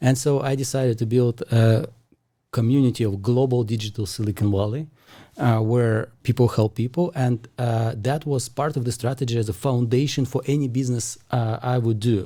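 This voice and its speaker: A man from Russia speaking English with a Russian accent as an interview guest, halting and often restarting as he searches for words. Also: mid-range voice, fairly even intonation, dark tone. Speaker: startup founder, guest. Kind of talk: casual interview talk.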